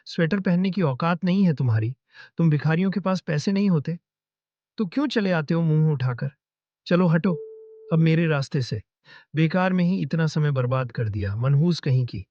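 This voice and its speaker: A woman acts a scornful street hawker in a cartoon fable. The voice is low and haughty, with a dry but slightly dull, lo-fi sound. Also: animated, moderate pace, dry recording. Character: rude, scornful male street sweater seller. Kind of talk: cartoon moral story acting.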